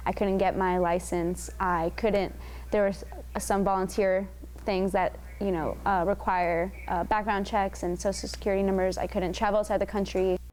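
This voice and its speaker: A woman's voice: mid-range voice, level tone, moderate pace, a little mellow, slight background noise. A young woman from Ecuador, a university student, speaking plainly in an interview. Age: young adult.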